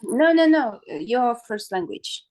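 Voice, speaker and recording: Woman, student, video call, slow hesitant questions, fairly high voice. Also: sing-song, darkish tone. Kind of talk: casual conversation.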